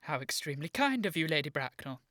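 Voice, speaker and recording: Bright-voiced, indignant English gentleman. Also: fairly high pitch, sing-song, fast talker, no room sound, studio quality. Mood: icily polite. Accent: upper-class English. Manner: formal, precise, clipped politeness. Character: earnest, indignant young English gentleman guardian. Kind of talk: radio play comedy of manners.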